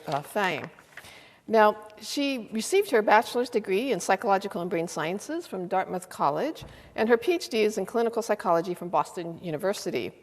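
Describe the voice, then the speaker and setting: An older woman at a lecture hall podium reads a speaker's introduction, with some fillers and restarts. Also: mid-range voice. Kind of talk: formal spoken introduction. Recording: long hall reverb.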